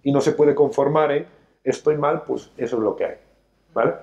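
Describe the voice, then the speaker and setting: A man's voice: mid-range voice, normal speed, warm tone, highs cut off. Emphatic man lecturing on a sofa. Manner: emphatic, lecturing, gestures a lot while talking. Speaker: financial educator, show host. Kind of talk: studio talk show discussion. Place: studio set, seated on a sofa.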